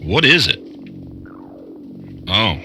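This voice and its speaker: An actor playing a skeptical, exasperated investigator in an audio drama, speaking bluntly. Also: male voice, lowish voice, big pitch swings, drawn-out words, music in the background, heavily acted.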